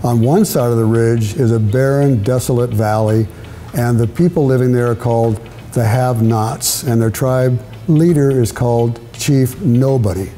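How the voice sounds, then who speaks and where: A man's voice: mid-range voice, normal speed, mellow, soft background music. An older man, a children's author, telling stories as an interview guest. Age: older, with grandchildren. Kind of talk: interview storytelling. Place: indoor studio set with brick walls, seated at a table. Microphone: lapel mic.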